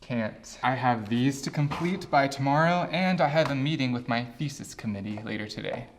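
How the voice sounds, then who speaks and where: A man acting a boozy, sardonic, overworked graduate teacher in a comedy web series, speaking in dry, wordy deadpan. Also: medium pitch, moderate pace, a little hiss or hum, close to an everyday voice. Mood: sardonic, weary.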